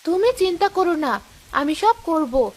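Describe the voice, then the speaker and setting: A woman voicing a high-pitched, exasperated cartoon housewife. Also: lively intonation, measured pace. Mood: surprised, welcoming. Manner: nagging, sharp-tongued. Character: scolding, exasperated young wife and mother. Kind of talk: cartoon story dialogue.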